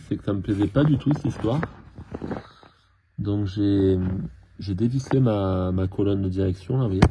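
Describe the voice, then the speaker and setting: A man talking casually and haltingly through hands-on work, thinking aloud as he goes. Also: fairly low pitch, lo-fi sound, noisy background. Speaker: car mechanic hobbyist filming his own repair. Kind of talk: casual hands-on tutorial narration.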